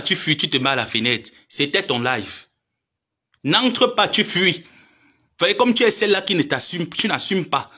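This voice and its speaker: A man's voice: fairly high pitch, sharp tone, husky. A man, lecturing and pleading in a social media live monologue. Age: 30s. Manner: preachy, lecturing, pleading then scolding.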